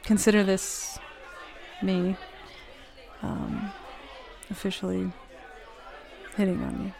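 A woman playing a flustered, smitten, jealous roommate in an intimate roleplay audio, speaking haltingly and nervously. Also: mid-range voice, sing-song, mellow, full character voice. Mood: relieved, flirtatious. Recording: bar crowd murmur behind voice.

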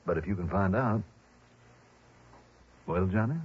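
An actor playing an earnest, worried insurance man in a 1960s radio drama, speaking gravely and courteously with a husky voice. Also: sits low, measured pace, very noisy. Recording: muffled old radio broadcast.

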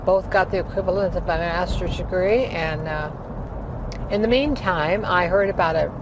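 A woman's voice: on the low side, normal speed. A woman in her eighties, a former opera singer, recalling her career in a rambling, filler-laden way during a casual interview. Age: 80s. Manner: rambling storytelling with many fillers. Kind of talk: casual life-story interview. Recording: heavy traffic noise behind.

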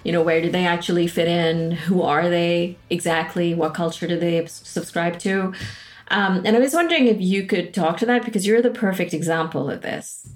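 Lower-voiced woman hosting. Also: moderate pace. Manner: enthusiastic, leads the questions. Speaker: podcast host. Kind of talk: casual conversational interview.

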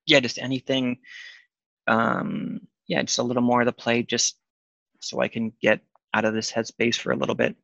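An actor playing a weary, troubled man who talks haltingly in naturalistic audio drama dialogue. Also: medium pitch, flat delivery, gravelly, a bit bright, dry recording, dull audio. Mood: weary, hesitant. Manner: hesitant, halting. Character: weary, troubled man seeking comfort from a friend.

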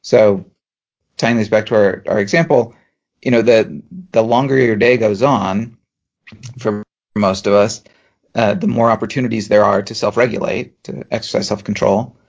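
A man, a professor co-hosting a podcast, explaining ideas in a measured way with dry humour. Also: fairly low pitch, steady tone, muffled recording. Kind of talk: explanatory chat.

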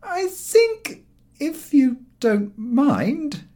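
Irritable, blustering storybook gentleman, played by a man, indignant and emphatic, high-pitched with wild swoops. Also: big pitch swings, drawn-out words, full character voice. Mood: subdued, pleading. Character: exasperated, irritable gentleman, quick to take offence.

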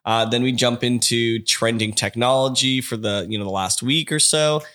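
A man's voice: medium pitch, normal speed, fairly bright tone. A man co-hosting a casual podcast, rambling with lots of fillers, his voice stuffy and congested. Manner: rambling, filler-heavy, upbeat. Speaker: podcast co-host.

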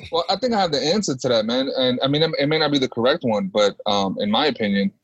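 A man's voice: mid-range voice, lively intonation, fast, breathy edge, dry recording, muffled recording. A man in a casual group discussion, talking emphatically in slangy street talk with swearing. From grew up in a US inner-city neighborhood, Dominican background. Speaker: panelist.

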